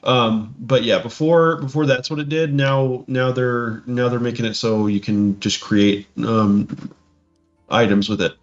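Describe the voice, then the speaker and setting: A man co-hosting a podcast, casually discussing tabletop game rules and haltingly thinking aloud as he reads. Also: mid-range voice, steady tone. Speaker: podcast co-host. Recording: dull lo-fi audio, music behind.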